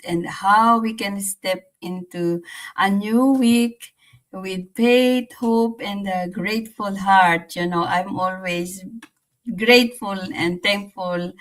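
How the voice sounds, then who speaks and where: Slow-talking Filipino woman livestreamer. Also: medium pitch, a bit bright. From Philippines. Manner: halting, repeats phrases. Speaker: livestream host. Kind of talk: casual livestream chat and reflection.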